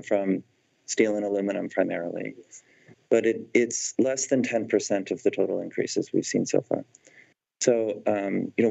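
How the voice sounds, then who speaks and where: A man with a low, gravelly voice explains figures as a finance executive. He is measured and careful with his claims. The call audio sounds somewhat dull. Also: a little mellow. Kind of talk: explanatory earnings-call answers.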